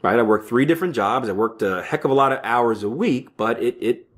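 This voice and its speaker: A man's voice: mid-range voice, brisk, a bit bright. A man in his early thirties hosting a podcast, talking casually with frequent "right?" tags and restarts. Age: 30s. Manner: conversational, keeps tagging lines with "right?"; stumbling restarts and fillers, offhand asides. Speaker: podcast host. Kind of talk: casual solo talk on trading psychology.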